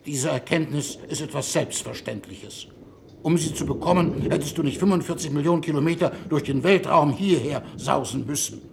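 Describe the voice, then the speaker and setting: A man's voice: fairly high pitch, normal speed, gravelly. An actor playing a weary, eloquent male envoy from Earth in a 1960s radio drama, with a theatrical, rhetorical delivery. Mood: indignant. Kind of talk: 1960s German-language radio drama acting. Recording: old radio recording, faint noise.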